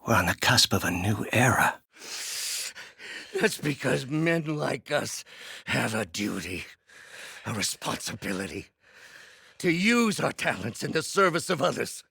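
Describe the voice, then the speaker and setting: An actor playing a brilliant, bitter middle-aged scientist turned villain in a video game, with precise, articulate, grandiose delivery. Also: male voice, mid-range voice, big pitch swings, a little slow, vocal fry, long pauses, sharp tone, slightly rough, dry recording, studio quality, full character voice. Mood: earnest, brisk. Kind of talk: dramatic game character voice lines.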